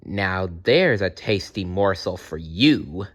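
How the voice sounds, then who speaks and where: A man's voice: deep voice, expressive, slow pace, fairly bright tone, pitched below the performer's own voice, heavily acted. Man voicing a bossy, wicked old witch. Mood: gloating. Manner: commanding, menacing. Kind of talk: storybook character voice in a read-aloud.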